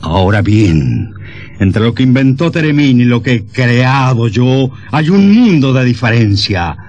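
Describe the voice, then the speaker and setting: A man's voice: mid-range voice, big pitch swings, measured pace, a little mellow, dull audio. An actor playing a proud, irritable musician and inventor in his forties, theatrical and emphatic in old radio drama style.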